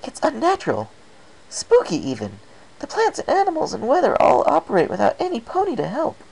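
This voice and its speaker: A performer voicing an excitable, bubbly young female cartoon-style pony in a storybook reading, breathless and exclamatory. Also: high voice, a little fast, creaky, slightly rough, voice pushed up, full character voice, rough recording. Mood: spooked, dramatic.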